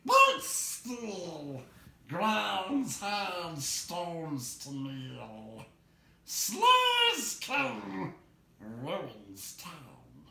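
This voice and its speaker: A man's voice: high voice, wildly swooping pitch, creaky, pushed and bright, reverberant, voice pushed up, exaggerated voice. A man voicing a slimy, hungry, menacing cave creature that hisses and draws out its words with glee, in a story reading. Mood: menacing, riddling. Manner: hissing, gleeful, drawn-out words.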